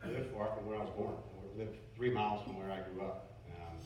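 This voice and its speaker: A man talks through a company presentation in a loose, chatty way, full of fillers and restarts. His voice sits on the low side. He is picked up from a distance in a very echoey meeting room, with a faint hiss underneath. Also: flat delivery, brisk, a little mellow. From Baltimore, USA. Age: 40s. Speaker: finance presenter.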